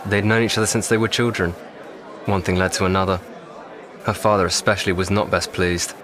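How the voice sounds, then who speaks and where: An actor playing an educated, articulate young English private of the First World War, speaking formally with dry irony in an audio drama. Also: male voice, sits low, flat delivery, fairly quick, a crowd in the background, natural voice. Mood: reflective. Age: young adult. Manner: formal, wordy, dryly ironic.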